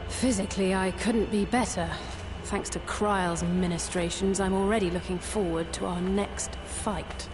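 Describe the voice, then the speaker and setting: Actress as earnest young fighter, medium pitch. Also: female voice, fairly even intonation, moderate pace, few pauses, noisy background, close to an everyday voice. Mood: earnest, restless. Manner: formal, frank, eloquent. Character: earnest, restless, proud young female fighter. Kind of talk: scripted video game voice acting. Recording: music behind the voice.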